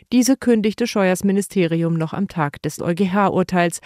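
A woman's voice: medium pitch, darkish tone, no room sound, clean studio sound. A woman reading a scripted news report as a radio reporter.

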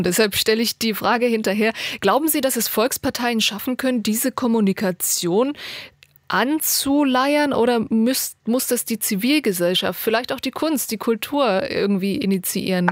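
Woman, radio host, brisk, moderating callers, higher voice, dry. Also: no room sound. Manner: brisk, keeps things moving. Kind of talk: call-in show moderation.

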